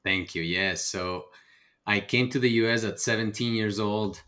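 A man's voice: on the low side, normal speed, pushed and bright. A middle-aged man from Ecuador, a native Spanish speaker, telling his personal story as a podcast guest. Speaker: podcast guest, real estate investor.

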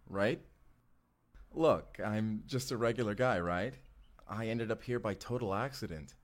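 A man playing an easygoing, self-deprecating young regular guy in an audio roleplay, casual and conversational. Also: on the low side. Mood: upbeat, reassuring. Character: easygoing regular young guy, breezily confident time traveler.

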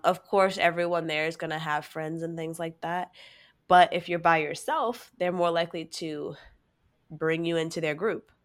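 A woman with a cold, her voice stuffy and a little lower than most. She chats casually as a podcast co-host, telling stories and filling her talk with "like". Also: steady tone, moderate pace. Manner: chatty, storytelling, leans on "like" a lot. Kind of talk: casual chat.

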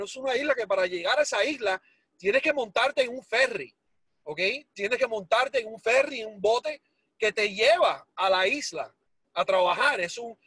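A man in his forties with a Cuban Spanish accent and a high, husky voice, telling his story with animated, self-mocking humor. English words slip into his Spanish, and the sound is close and dry. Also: dry recording. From Miami, United States. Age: 40s. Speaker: guest. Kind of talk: casual storytelling interview.

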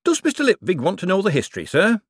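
A man's voice: high-pitched, huge pitch swings, fast, slightly rough, no echo, studio quality, voice pushed up, exaggerated voice. A man voicing a sly, working-class male mint foreman who uses broad dialect grammar and keeps saying sir. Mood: wheedling.

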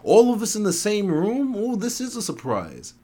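A man's voice: sits high, wildly swooping pitch, normal speed, mellow, voice pushed up, heavily acted. A man voicing a coy, mocking woman who loves to tease, with a sing-song manner, in a story reading. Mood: mocking surprise.

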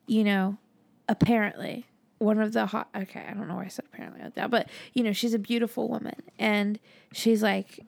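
Hoarse young woman, giggly and rambling. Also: medium pitch, little pitch movement, brisk, a little mellow, no room sound. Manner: giggly, rambling with many fillers. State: hoarse, losing her voice. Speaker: podcast co-host. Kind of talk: casual chat.

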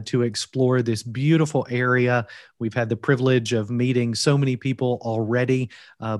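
A man with a Kentucky accent, a guest on a podcast, speaking in an earnest, deliberate way with preacherly emphasis. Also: mid-range voice, no room sound, clean studio sound. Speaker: guest, seminary teacher and preacher. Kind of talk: measured podcast interview. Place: recorded from Wales.

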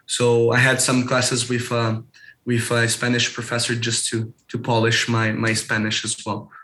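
A man's voice: medium pitch, monotone, measured pace, sharp tone. A young man from Brazil with a Brazilian Portuguese accent, answering interview questions casually and searching for words as he goes. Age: young adult. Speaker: interview guest, school alumnus.

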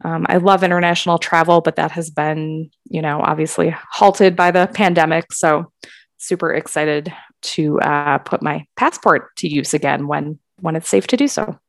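A woman talking casually as a podcast guest, hesitant and soft, with pauses and fillers. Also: low voice, fairly even intonation, gravelly, dry recording, clean studio sound. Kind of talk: casual chat.

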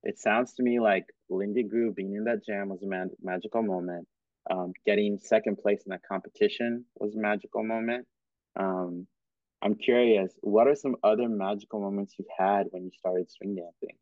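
A man hosts a podcast in an easy, encouraging way and laughs often. His voice sits on the low side. The sound is dry and thin, like a phone line. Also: steady tone, normal speed, dry recording. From Cameroon and Kenya. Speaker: podcast host. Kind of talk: casual interview chat.

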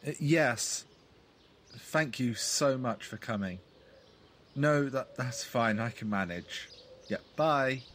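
A man playing a timid, downtrodden, bereaved son who talks haltingly to himself in an audio play. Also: mid-range voice, lively intonation, fairly bright tone, slight background noise. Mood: subdued politeness. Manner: hesitant, halting, talking to himself.

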